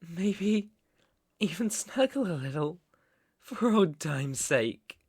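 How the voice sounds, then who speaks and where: A gravelly British mafia boss, acted by a man for an ASMR roleplay, close to the mic in a very dry space. He is possessive and unstable, speaking with brooding, condescending affection and a creaky edge. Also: sits high, lively intonation, slow, a little mellow, no room sound, exaggerated voice. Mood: soft, condescending. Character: possessive, unstable male mafia boss, menacingly tender ex-lover. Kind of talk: ASMR roleplay, dramatic monologue.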